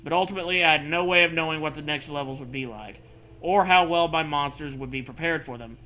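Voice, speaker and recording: A man's voice: fairly high pitch, fast, pushed and bright, slight background noise. A man doing a mocking dramatic reading with casual riffing, sarcastic, profane and prone to hammy emphasis. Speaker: comedy co-host reading fan fiction aloud.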